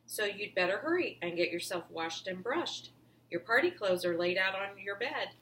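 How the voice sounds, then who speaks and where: A woman voicing a kind, gentle mother in a children's storybook reading. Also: medium pitch, animated, normal speed, slight background noise, lightly acted, low-quality recording. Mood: gently reassuring. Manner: gentle, affectionate. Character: kind, soothing mother of a young boy.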